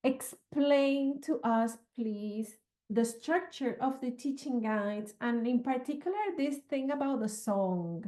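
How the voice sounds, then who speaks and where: A woman from Venezuela with a Venezuelan accent, presenting as an author in a measured, conversational way. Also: fairly high pitch, warm tone.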